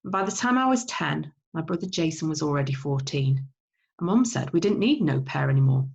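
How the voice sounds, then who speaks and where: A woman with a fairly low voice narrates a children's novel. She reads expressively, like a teacher reading aloud to pupils. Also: animated, brisk, gravelly, a little mellow, dull audio.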